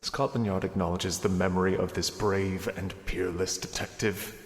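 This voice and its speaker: An actor playing a solemn Victorian police inspector giving a eulogy in a radio drama, formal and declamatory. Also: male voice, low-pitched, little pitch movement, normal speed, lightly acted. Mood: solemn, proud. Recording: echoey chapel-like hall reverb.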